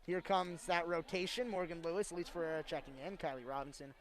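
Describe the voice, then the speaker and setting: A woman's voice: lowish voice, quick pace, crowd noise. A young woman giving live color analysis during a radio broadcast of a volleyball match. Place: sports arena broadcast.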